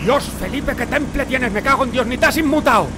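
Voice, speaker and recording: Man playing a panicky Spanish cop, very high voice. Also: brisk. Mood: shaken. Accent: Spain Spanish. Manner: exclamatory, melodramatic. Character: excitable, panicky, foul-mouthed Spanish policeman. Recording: loud street and engine noise.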